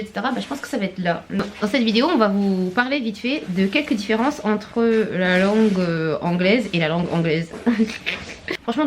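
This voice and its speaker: A young woman from Réunion, speaking, chats in a laughing, lively way with quick asides while she cooks in her home kitchen for a vlog. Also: mid-range voice, noisy background. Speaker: vlogger. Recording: echoey room.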